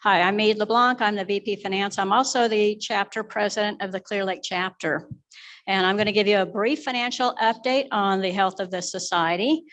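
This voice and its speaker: A woman's voice: mid-range voice, fairly even intonation, a little fast, fairly bright tone. A woman presenting a prepared financial update as a finance officer at a live members' meeting.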